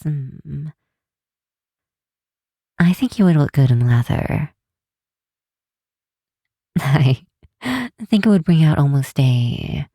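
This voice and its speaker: A woman playing an affectionate, teasing vampire scientist in an intimate ASMR roleplay, speaking softly and unhurriedly to a close friend. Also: low voice, no echo, full character voice. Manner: soft, unhurried, teasing, sometimes breathy near-whisper. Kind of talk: intimate ASMR roleplay, one side of a call.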